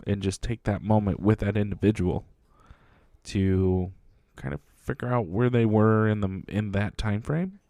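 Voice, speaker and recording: A mellow, low-pitched man talking close to a dry mic. He co-hosts a podcast with a dry, self-deprecating humor and weighs his answers in a thoughtful way. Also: unhurried, no room sound. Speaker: podcast co-host, Air Force veteran. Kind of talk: casual chat.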